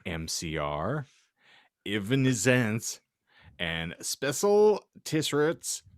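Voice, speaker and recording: A man's voice: mid-range voice, huge pitch swings, extremely slow, dry recording, clean studio sound. A man hosting a podcast, giving a comedic, mock-serious dramatic reading with banter. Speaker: podcast host.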